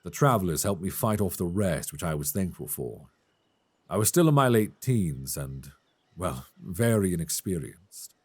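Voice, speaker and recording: A man playing a calm, reassuring elf adventurer in an intimate fantasy ASMR roleplay, speaking gently and soothingly close to a binaural microphone. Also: low-pitched, slightly rough. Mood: sombre, reassuring. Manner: gentle, soothing, measured delivery.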